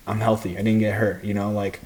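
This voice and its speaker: A young man with a slightly low voice, talking loose and unhurried. He rambles through casual podcast chat, peppering everything with slang and swear words. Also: monotone, a little mellow. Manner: laid-back, heavy slang and swearing, rambling. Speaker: podcast co-host, fighter.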